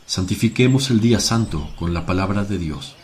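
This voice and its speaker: An actor playing a grave, gentle, sorrowful teacher in a devotional radio play, speaking solemnly in measured phrases. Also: male voice, sits low, animated. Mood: gentle exhorting. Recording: faint noise with birdsong. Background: birdsong and outdoor ambience.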